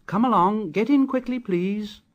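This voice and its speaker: A man voicing an eager, hardworking little steam engine in a storybook, chanting slowly with puffing shh sounds between the words. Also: high-pitched, lo-fi sound, slight background noise, pitched above the performer's own voice. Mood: chugging cheerful. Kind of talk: storybook reading.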